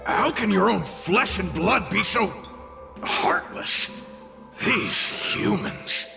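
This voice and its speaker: A brash, sardonic little spirit speaks with a gravelly edge and takes its time over each jab. The delivery is theatrical video game acting, mischievous yet protective, over clear background music. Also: male voice, high voice, lively intonation, slow pace, exaggerated voice. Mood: scornful. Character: brash, mischievous male fox-tail spirit, protective and boastful.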